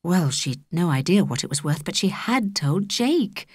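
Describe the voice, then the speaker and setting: A woman with a British accent narrating a children's audiobook in an expressive, measured storytelling manner. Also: on the low side, breathy edge, no echo, studio quality. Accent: British English.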